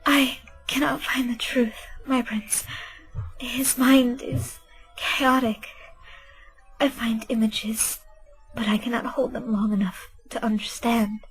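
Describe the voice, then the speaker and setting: Woman as a slow, whispery young telepath. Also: a little high, little pitch movement, soft background music, rough recording. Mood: hushed, troubled. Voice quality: breathy, whispery. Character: gentle young female telepath, solemn and earnest. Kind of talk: fan radio play acting.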